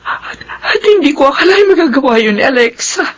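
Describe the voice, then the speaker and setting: Torn emotional filipina mom, radio drama, really high voice that swoops around. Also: female voice, animated, a little fast, highs cut off. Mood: sorrowful. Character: anguished, loving middle-aged mother, torn and stern.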